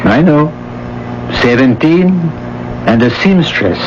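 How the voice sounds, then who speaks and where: A man in a radio drama playing a devoted middle-aged French gentleman with a gentle, courtly, measured manner. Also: fairly high pitch, animated. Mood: calm, smooth. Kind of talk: old-time radio drama acting. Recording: dull, faintly noisy old radio broadcast.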